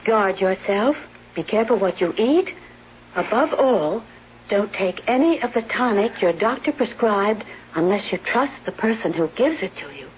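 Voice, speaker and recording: A woman acting a brisk, protective nurse companion in a 1970s radio drama, reassuring and no-nonsense. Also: mid-range voice, animated, lightly acted. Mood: skeptical. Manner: no-nonsense, dismissive of fears. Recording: dull vintage radio broadcast, faint noise.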